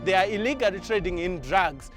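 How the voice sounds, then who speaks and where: A man's voice: high voice, lively intonation, fairly quick. A man with a Zimbabwean accent explaining project work in an earnest, formal way. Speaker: project team member. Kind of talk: interview explanation. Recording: music under the voice.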